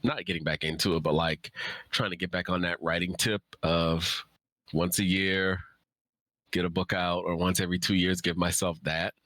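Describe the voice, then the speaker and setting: A man's voice: sits low, flat delivery, moderate pace, fairly bright tone, dry recording. A man in his thirties chatting casually and informally as an author on a livestream Q&A. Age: 30s.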